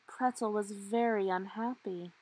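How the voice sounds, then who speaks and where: A woman's voice: mid-range voice, slow, darkish tone, husky, low-quality recording. A woman reading a children's storybook aloud expressively, with dramatic emphasis on key words. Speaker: storybook reader.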